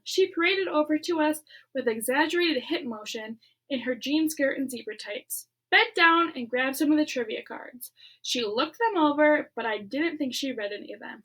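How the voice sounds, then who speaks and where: A woman with a high voice reads a children's novel aloud to her class. She is expressive, dropping into quiet, whispered beats and stopping for her own teacherly asides. The reading is clear and storytelling in tone. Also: low-quality recording.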